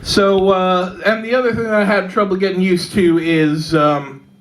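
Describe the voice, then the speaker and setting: A woman with a Texan accent performing a stand-up set in a pub comedy club, delivering dark jokes deadpan, with lots of fillers and little laughs at her own lines. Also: fairly low pitch, normal speed, slight background noise. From Texas. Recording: live club mic, loud audience laughter.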